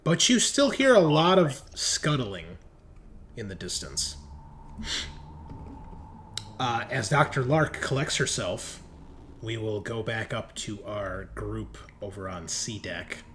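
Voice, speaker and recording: A man's voice: fairly low pitch, very animated, slow, long pauses, a bit bright, slight background noise. A man running a tabletop roleplaying game as game master, talking casually and jokily.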